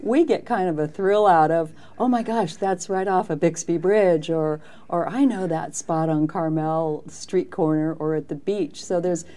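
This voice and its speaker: A woman's voice: lowish voice, unhurried, few pauses, mellow. A woman hosting a local TV interview, chatty and encouraging, often affirming her guest. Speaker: TV interview host.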